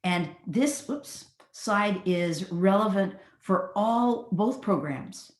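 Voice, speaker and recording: A woman hosting a webinar on a video call, praising speakers and moving briskly to the next topic. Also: medium pitch, expressive, reverberant. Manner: warm praise, chatty, brisk transitions. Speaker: host, program leader.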